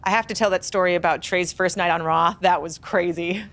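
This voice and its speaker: A woman's voice: on the low side, steady tone, brisk, a bit bright. A woman playing a friendly, supportive veteran wrestler in a video game cutscene, speaking plainly and casually.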